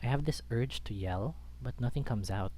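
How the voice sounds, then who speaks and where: A man's voice: medium pitch, moderate pace, a little mellow. A man reading a first-person story aloud as a dramatic narrator. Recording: background music under the voice. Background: music.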